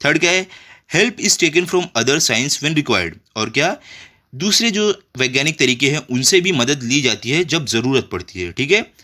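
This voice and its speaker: An Indian man teaches schoolchildren in a bright, forceful voice at an average male pitch. He explains step by step, reading and translating as he goes. He often asks rhetorical questions and adds short check phrases. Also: big pitch swings, a little slow. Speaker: school tutor. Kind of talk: explanatory lesson for children, reading textbook and translating.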